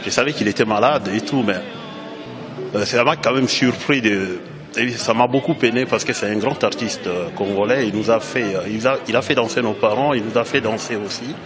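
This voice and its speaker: A man with a lowish voice speaks in a saddened, reflective way. Music plays clearly behind him. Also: a little fast. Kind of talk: interview answer. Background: music playing.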